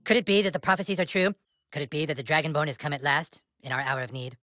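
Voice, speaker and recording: A man's voice: fairly high pitch, steady tone, fast, sharp tone, no room sound, clean studio sound. An actor voicing a bossy, scheming young boy wizard in a cartoon game, with a nasal, whiny delivery. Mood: pompous. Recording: game audio with music behind.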